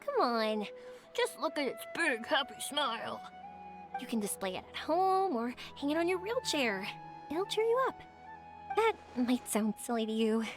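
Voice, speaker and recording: A woman playing a cheerful, warm-hearted young woman in a video game, earnest and encouraging as she talks. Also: high voice, sing-song, unhurried. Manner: bright, earnest, coaxing. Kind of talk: animated video game voice acting. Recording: game music playing behind.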